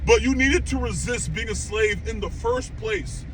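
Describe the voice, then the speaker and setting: A young man hosting an anime reaction channel, delivering an animated, emphatic rant straight to his viewers. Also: very high voice, unhurried, poor audio. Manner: animated, emphatic, incredulous outbursts; conversational, addresses viewers directly. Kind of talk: opinionated rant. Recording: steady traffic noise behind.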